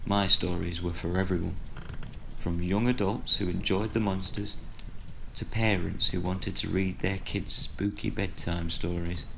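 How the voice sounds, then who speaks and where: Low, gravelly retired horror storyteller. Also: male voice, steady tone, measured pace, fairly bright tone, slight background noise, heavily acted. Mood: proud. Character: retired horror storyteller, older man, wry and brooding. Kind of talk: audio drama dialogue and storytelling.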